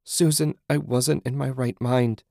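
A man's voice: medium pitch, fairly even intonation, a little slow, vocal fry, darkish tone, studio quality. Guy doing a beaten-down woman full of guilt, pleading, audiobook style, no room echo. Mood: desperate pleading. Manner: pleading, confessional. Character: guilt-ridden, self-loathing, beaten-down young mother. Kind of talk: audiobook character acting.

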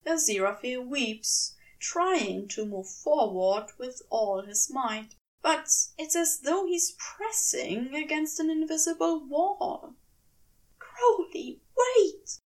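A woman reading a scripted story as narrator, in an expressive and deliberate storytelling manner. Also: high voice, distant mic, low-quality recording. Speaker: podfic narrator.

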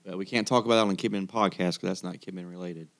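A man's voice: deep voice, steady tone, quick pace. A man hosting a casual podcast, bantering with and steering his group like a jokey ringleader. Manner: jokey, ringleader prodding the others. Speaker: podcast host.

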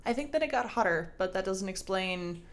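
A woman's voice: medium pitch, animated, fairly quick. A woman co-hosting a casual podcast, answering her co-host with teasing quips.

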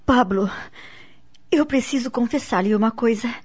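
A nervous wife in a radio soap. She is high-voiced and breathy, easily startled, heard on a dull recording. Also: unhurried, a little mellow. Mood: hesitant, questioning. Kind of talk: radio soap opera acting.

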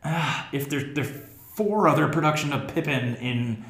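A man's voice: a little high, expressive, normal speed, fairly bright tone. An American man co-hosting a casual podcast chat about theatre. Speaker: podcast co-host; theatre teacher. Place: echoey indoor room. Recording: roomy, echoing podcast room.

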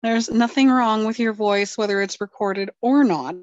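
A woman's voice: on the high side, fairly even intonation, moderate pace, a little mellow, no room sound, dull audio. A woman co-hosting a podcast in casual chat, joking drowsily about herself. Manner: self-deprecating, drowsy joking. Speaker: podcast co-host.

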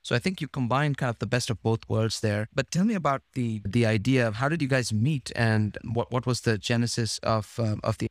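A man's voice: medium pitch, a little fast, few pauses, no room sound. A man hosting a podcast, chatting casually and rambling with many restarts. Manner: rambling, restarts phrases, fillers. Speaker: podcast host. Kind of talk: casual interview talk.

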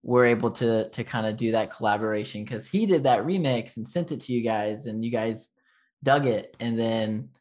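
A man's voice: medium pitch, normal speed, a little mellow. A man from Texas with a light Texan accent, hosting a casual interview and rambling easily with fillers and slang. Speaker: podcast host.

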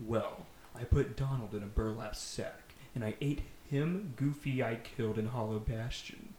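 A man voicing a menacing man-eating game villain with slow, drawn-out threats, in a roomy recording with people around. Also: mid-range voice, dark tone, slight background noise, close to an everyday voice.

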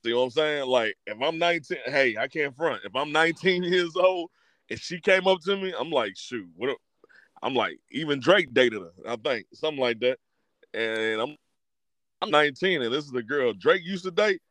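An American man with a slightly high voice, recorded dry and close. He banters casually as a talk show co-host, slangy and animated, dropping in interjections as he goes. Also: moderate pace, dry recording.

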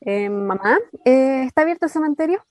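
A woman's voice: sits high, animated, normal speed, darkish tone. A woman with a Chilean accent playing an inquisitive, teasing young woman in a radio drama. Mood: casual, curious. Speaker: actor. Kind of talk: radio drama acting, casual dialogue.

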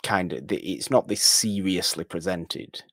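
A man's voice: low-pitched, a little slow, a bit bright, no room sound, studio quality. A man co-hosting a podcast, casually discussing films in an emphatic way, often repeating words and phrases for stress. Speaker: podcast co-host.

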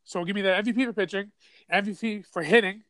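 A male podcast host talks fast in a high, bright voice, sniffly from a cold. He is excitable and slangy, and the sound is close and dry on his microphone. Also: no room sound. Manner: excitable, slangy, says "bro" a lot. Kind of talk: casual sports podcast chat.

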